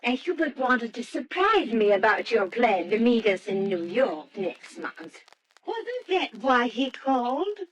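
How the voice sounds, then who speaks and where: Bossy, swooping high-voiced society wife. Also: female voice, sing-song, a little slow, poor audio. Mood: sociable, domineering. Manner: imperious, scolding, theatrically emphatic. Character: bossy, positive, chattering middle-aged wife of a professor. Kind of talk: old-time radio drama acting.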